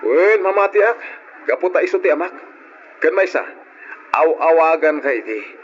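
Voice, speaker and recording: Gruff, accusing husband played by a man. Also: fairly high pitch, lively intonation, normal speed, close to an everyday voice. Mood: accusing, bitter. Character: callous, accusing, unfaithful adult husband. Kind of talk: old radio drama acting. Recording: muffled old radio recording with noise.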